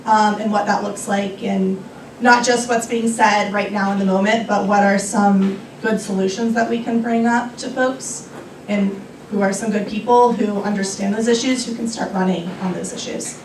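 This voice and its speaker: A woman's voice: medium pitch, steady tone, normal speed, recorded from a distance, a little hiss or hum. Young woman speaking in an echoey room. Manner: thoughtful, many ums and restarts. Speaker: guest speaker on a young activists' group. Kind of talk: answering audience questions at a luncheon. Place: restaurant meeting room. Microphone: room recording from a distance.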